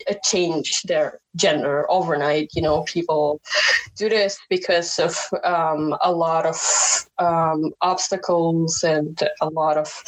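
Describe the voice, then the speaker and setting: Slow, hesitant woman. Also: lowish voice, low-quality recording. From Indonesia. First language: Indonesian. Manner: hesitant, many fillers and restarts, laughs often. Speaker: podcast guest. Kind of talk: personal interview talk.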